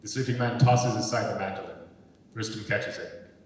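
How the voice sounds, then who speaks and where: A man's voice: on the low side, moderate pace. A man reading stage directions as scripted narration, heard from far away in an echoey hall. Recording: echoey hall, far from mic, faint noise.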